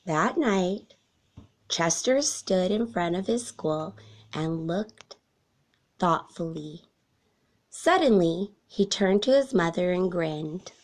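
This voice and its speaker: A woman narrating a children's story aloud in a soft, deliberate storytelling manner. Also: lowish voice, animated. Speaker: storybook reader.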